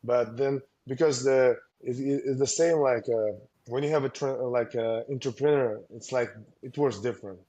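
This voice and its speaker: Halting coach with a mellow voice. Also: male voice, mid-range voice. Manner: halting, with many fillers and restarts; earnest advice-giving. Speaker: combat sports coach, guest. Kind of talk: casual interview talk.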